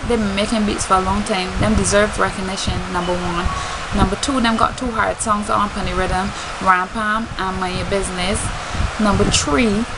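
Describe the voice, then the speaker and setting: A woman's voice: mid-range voice, heavy background noise. A woman from Barbados with a Bajan accent talks casually and emphatically to her fans, in an outspoken way. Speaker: singer and spoken word artist. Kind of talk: casual vlog talk to fans.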